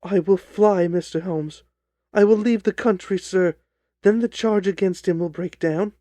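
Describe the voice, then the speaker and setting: Man playing a cowardly thief, high-pitched, shrill, pleading, desperate, cringing, audiobook drama. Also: mellow, no echo. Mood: anxious pleading. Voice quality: shrill when begging, shrieking. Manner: wailing, pleading, drawn-out.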